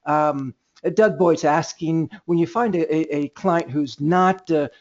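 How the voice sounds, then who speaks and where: A man hosting a sales conference call informally, halting and repeating words as he moderates and coaches. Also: on the high side, steady tone, darkish tone. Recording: dull, lo-fi conference call line.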